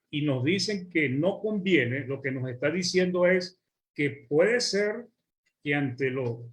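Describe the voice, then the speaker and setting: Venezuelan preacher, measured and fairly high. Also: male voice. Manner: measured, list-like preaching with drawn-out words. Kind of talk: sermon.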